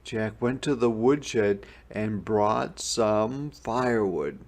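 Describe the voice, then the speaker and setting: A man narrating a children's picture book in a deliberate, expressive storytelling manner. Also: fairly low pitch. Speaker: storybook reader. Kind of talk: scripted children's read-aloud narration.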